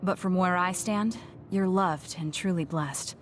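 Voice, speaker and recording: Serious, low-pitched young woman soldier played by an actress. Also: faint music behind, close to an everyday voice. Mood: blunt, earnest. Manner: measured, matter-of-fact, earnest. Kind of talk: English video game voice acting.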